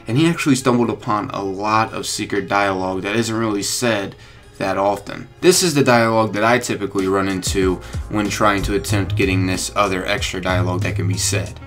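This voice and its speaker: Low-pitched young man doing gaming commentary. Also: a bit bright. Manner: chatty, informal. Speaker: gaming YouTuber, host. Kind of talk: casual video commentary to viewers. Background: light music.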